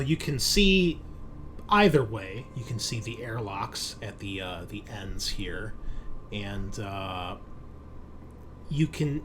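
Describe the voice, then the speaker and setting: A man's voice: on the low side, a little hiss or hum. A man running a tabletop roleplaying game as game master, explaining scenes and rules conversationally.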